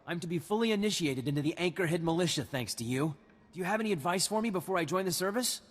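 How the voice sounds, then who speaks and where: A man voicing an earnest, respectful young militia recruit in a video game, with a husky voice. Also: high-pitched, lively intonation, fast, in a large hall. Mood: respectful, grateful.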